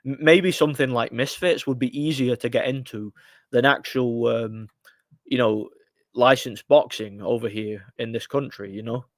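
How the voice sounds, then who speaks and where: A man's voice: medium pitch, fairly even intonation, moderate pace, dry recording. Blunt rambling man in his thirties. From United Kingdom. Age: 30s. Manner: rambling, frequent restarts and fillers; frustrated, blunt opinions. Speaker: livestream host. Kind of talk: casual live-stream boxing chat.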